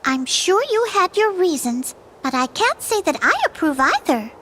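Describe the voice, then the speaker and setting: Kindly female cartoon game character: prim, polite, high and expressive, faint noise behind. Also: moderate pace, full character voice. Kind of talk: animated video game voice acting.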